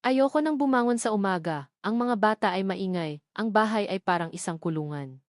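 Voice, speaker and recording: A woman's voice: medium pitch, expressive, moderate pace, dry recording, studio quality, close to an everyday voice. Woman voicing a despairing, lonely young mother. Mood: lonely, sad.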